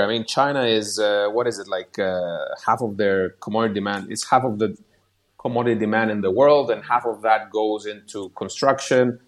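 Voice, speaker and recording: A man giving casual, rambling market commentary on a podcast, often restarting his sentences. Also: lowish voice. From outside the US.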